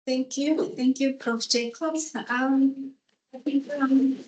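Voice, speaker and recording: A woman's voice: high voice, fairly even intonation, takes it slowly, a little mellow, a little hiss or hum. A woman moderating a seminar, introducing speakers in a hesitant way with many fillers. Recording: echoey conference room.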